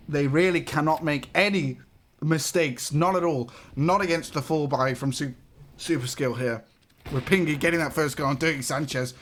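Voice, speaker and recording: Excitable young man casting esports live. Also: fairly high pitch. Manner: excitable, rising sharply on big plays, laughs between calls. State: voice getting raspy. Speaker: esports caster. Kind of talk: live play-by-play commentary.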